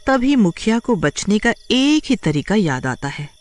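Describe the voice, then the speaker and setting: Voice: medium pitch, very animated, measured pace. A narrator reading a scripted horror tale as a storyteller.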